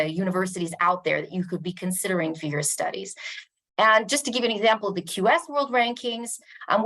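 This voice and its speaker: A woman from Canada presents to an online audience in a measured, informative way, pausing on ums and uhs. Her voice sits in a middle pitch with a noticeable gravelly fry. It sounds like a computer mic on a video call. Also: a bit bright. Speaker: university international office presenter. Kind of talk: webinar presentation.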